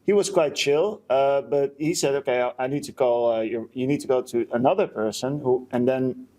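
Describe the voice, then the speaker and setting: A man's voice: medium pitch, normal speed, mellow. Rambling male podcaster. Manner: rambling, self-correcting. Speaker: podcast co-host. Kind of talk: casual chat, personal storytelling.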